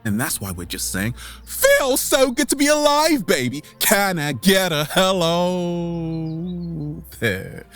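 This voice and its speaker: Male motivational vlogger, higher-pitched and very lively, theatrical delivery with music behind. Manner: theatrical, exclamatory, with drawn-out words and sudden swoops. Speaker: online motivational vlogger and host. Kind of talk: motivational monologue to camera, catchphrase-heavy. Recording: background music under the voice.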